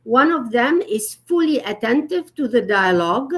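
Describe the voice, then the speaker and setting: A woman with a somewhat high voice explains etiquette in an earnest, explanatory way. She pauses often with fillers as she thinks aloud. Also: big pitch swings, a bit bright, husky. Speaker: etiquette guest expert. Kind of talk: conversational live-stream talk.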